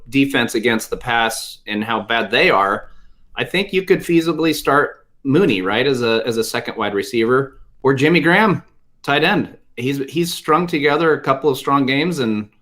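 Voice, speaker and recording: A man with a medium-pitched voice gives casual fantasy sports advice as a panelist. His delivery is halting, often doubling up words as he makes his point. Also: expressive, fairly bright tone. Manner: halting, repeats words emphatically.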